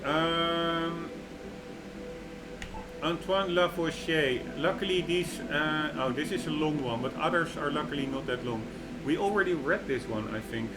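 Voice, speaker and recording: Halting man over loud music. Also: fairly high pitch, low-quality recording. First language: Dutch. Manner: halting, searches for words with many fillers; opinionated, gets worked up. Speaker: gaming video creator. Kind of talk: reading game lore aloud with commentary. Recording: loud music bed under voice. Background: loud game music.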